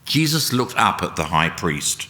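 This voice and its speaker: A man reading a solemn, dramatic narration in a deliberate, emphatic oratorical way. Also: low-pitched, very animated, sharp tone. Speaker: reader at a church service. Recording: long hall reverb.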